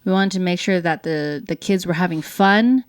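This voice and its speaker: Woman, smooth clear voice, slightly low, casual explainer, dry close sound. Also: moderate pace, dry recording. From United States. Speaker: co-host.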